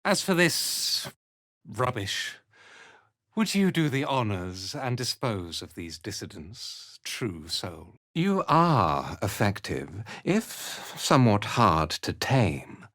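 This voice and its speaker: A haughty, cold cult commander from a video game. The delivery is slow and condescending, with formal phrasing and a wide, expressive swing in pitch. The sound is dry and close. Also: no echo. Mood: haughty contempt. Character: haughty, cold cult commander, disdainful slave-driver and zealot. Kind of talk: video game character dialogue.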